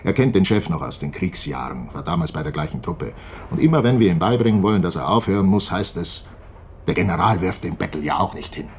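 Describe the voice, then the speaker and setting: Deep-voiced, defensive company security chief. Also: male voice, lively intonation, fairly quick, a little hiss or hum. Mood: grudging. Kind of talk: radio play acting.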